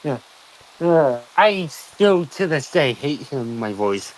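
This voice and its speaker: A young man with a somewhat high-pitched voice chats with a friend during a gaming stream. He talks in a halting, hesitant way, pausing on ums and restarting his sentences. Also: big pitch swings, a little hiss or hum, poor audio. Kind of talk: casual chat while gaming.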